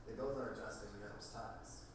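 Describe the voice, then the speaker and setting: A man's voice: fairly low pitch, steady tone, brisk. Man narrating a script, very echoey. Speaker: narrator reading stage directions. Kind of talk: scripted narration at a table read. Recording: very echoey room, distant mic, noisy.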